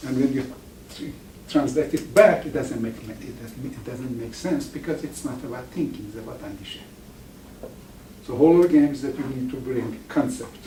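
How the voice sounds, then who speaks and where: A man's voice: medium pitch, mellow, slight background noise. Farsi-speaking man lecturing in an echoey room. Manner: halting, restarts words often. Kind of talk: informal lecture to an audience. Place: lecture room with live audience. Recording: echoey room, mic far off.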